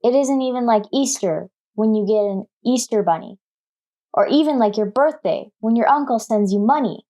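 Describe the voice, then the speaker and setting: Woman as an earnest, high-voiced little schoolgirl. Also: normal speed, mellow, lightly acted. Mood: eager, earnest. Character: nine-year-old schoolgirl, eager, stubborn daughter. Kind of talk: 1950s radio sitcom acting.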